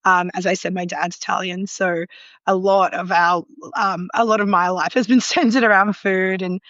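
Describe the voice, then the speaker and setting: A woman's voice: lowish voice, steady tone, normal speed, a bit bright, no echo, highs cut off. A woman with an Australian accent, a guest talking casually in a live online interview, with many ums and likes and frequent small laughs. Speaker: guest, food illustrator. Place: apartment in a loud city, sirens outside. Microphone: remote live call.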